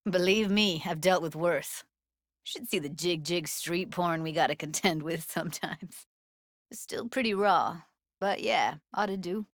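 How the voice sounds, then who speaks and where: A woman's voice: medium pitch, fairly bright tone, no echo, clean studio sound. An actress playing a tough, candid young woman technician in a video game, talking in a casual, slangy, blunt way. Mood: businesslike, casual. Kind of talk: naturalistic game voice acting.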